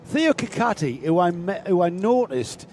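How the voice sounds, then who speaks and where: A man's voice: high-pitched, sing-song, moderate pace, darkish tone, a little hiss or hum. A man hosting a live karting stream, chatting with co-commentators and reading out viewers' names. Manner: chatty, jokey, reads out chat names. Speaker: race commentator and stream host. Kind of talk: live motorsport commentary and chat.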